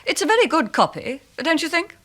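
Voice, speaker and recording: An actress in a radio play voicing a prim, fussy, genteel British suburban wife. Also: female voice, high-pitched, sing-song, brisk, a bit bright. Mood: polite, curious.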